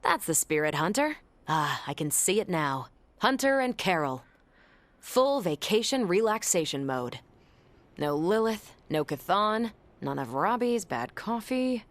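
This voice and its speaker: A low-voiced, breezy superhero. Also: female voice, very animated, unhurried, dry recording. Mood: upbeat, playful. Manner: wry, playful banter. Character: confident, breezy, teasing superhero woman. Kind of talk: video game voice acting.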